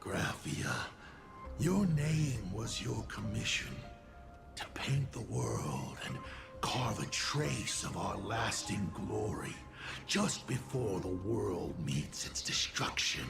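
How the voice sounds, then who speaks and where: An actor playing a sinister, mocking lord of destruction in a video game, with a breathy, near-whispered voice and a slow theatrical drawl. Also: male voice, fairly low pitch, very animated, heavily acted. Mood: gloating menace. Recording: game music behind the voice.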